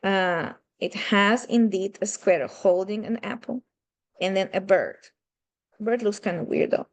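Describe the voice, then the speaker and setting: A woman's voice: medium pitch, unhurried. A woman with a Russian-Ukrainian accent giving an online math seminar lecture from home, talking conversationally and asking rhetorical questions. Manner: conversational, asks rhetorical questions, non-native phrasing. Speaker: math teacher. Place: home room with a running aquarium.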